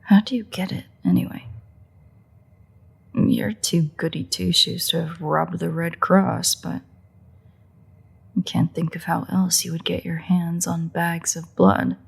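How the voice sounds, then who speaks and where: A woman playing a sardonic, teasing female vampire in a roleplay audio drama, with a husky, breathy, gravelly voice and a sarcastic, exasperated manner. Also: fairly low pitch, level tone, a little slow, pauses often, full character voice. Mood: teasing, skeptical. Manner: sarcastic, mocking, swearing, dry exasperation. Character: sardonic female vampire, teasing, crude, grudging toward a hunter. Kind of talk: roleplay audio drama, intimate monologue to the listener.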